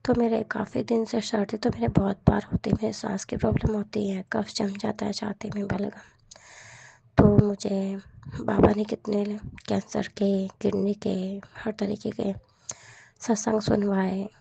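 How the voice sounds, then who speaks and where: A woman with a hoarse, cold-roughened voice, earnestly sharing a devotional testimony and repeating her thanks. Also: mid-range voice, level tone, measured pace, dark tone, dry recording.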